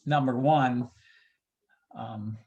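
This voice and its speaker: A man who works as a baseball PA announcer, chatting casually as an interview guest, often halting as he thinks aloud. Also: medium pitch, a little mellow, breathy edge, dull audio.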